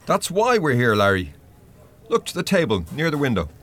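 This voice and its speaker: An actor in a radio play playing a stern, knowing spirit guide with an Irish accent and a wry, pointed, reproachful delivery. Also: male voice, medium pitch, wildly swooping pitch, normal speed, a bit bright. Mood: firm.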